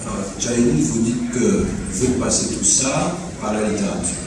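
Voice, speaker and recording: Casting: male journalist hosting a literary interview, mid-pitched, measured phrasing, clear noise around a distant, echoing voice. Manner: measured, builds questions in stacked phrases. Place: large public hall at a book fair. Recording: echoey hall, distant, clearly noisy.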